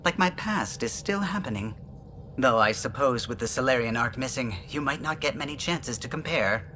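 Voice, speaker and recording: A man's voice: mid-range voice, animated, moderate pace, pushed and bright, a little hiss or hum. An actor playing a male alien starship pilot in a video game, chatty, precise and fond of reminiscing. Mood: nostalgic. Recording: music behind the voice.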